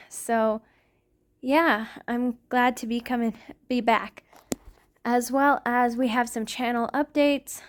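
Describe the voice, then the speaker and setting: A woman with a fairly high voice speaks as an ASMR creator. She gives informal updates straight to her listeners in a casual, conversational style. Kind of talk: casual channel announcement.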